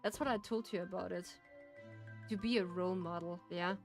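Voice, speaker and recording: A woman's voice: on the low side, fairly even intonation, moderate pace, soft background music. A woman with a German accent, chatting casually as she streams a farming game. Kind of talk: casual gameplay chat.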